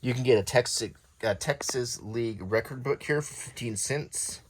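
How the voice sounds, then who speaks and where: A man reading an old newspaper aloud in a low-key, casual ASMR style, adding offhand comments as he browses. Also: moderate pace, slightly rough.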